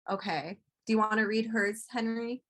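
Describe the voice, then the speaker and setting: A woman's voice: on the high side, steady tone, normal speed, a bit bright. A young woman, a student presenter and host, introducing speakers and reading prepared remarks aloud. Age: young adult.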